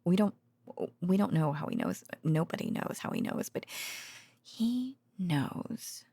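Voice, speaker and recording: A woman playing a gentle researcher girlfriend in an ASMR bedtime roleplay, murmuring haltingly in a soft, breathy voice that often drops to a whisper. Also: lowish voice, animated, no room sound, exaggerated voice. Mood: hushed, eerie. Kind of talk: intimate ASMR audio roleplay, conversational bedtime monologue.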